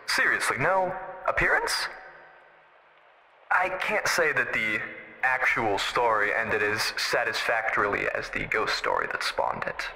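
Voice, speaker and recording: A man playing a showy radio host, bright and bouncy. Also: medium pitch, unhurried, gravelly, full character voice, poor audio. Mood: baffled. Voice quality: showman's lively patter. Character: showy late-night radio host, young man, theatrical. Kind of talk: audio drama acting.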